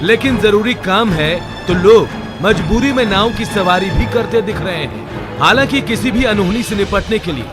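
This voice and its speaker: A man's voice: high-pitched, expressive, normal speed. A man reading a scripted television news report as a voice-over. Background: music.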